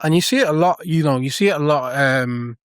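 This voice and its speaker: A man's voice: a little high, expressive, brisk, clean tone, no echo. Earnest man on a dry podcast mic. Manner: earnest, explains with examples, many restarts. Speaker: podcast co-host. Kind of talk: casual podcast chat.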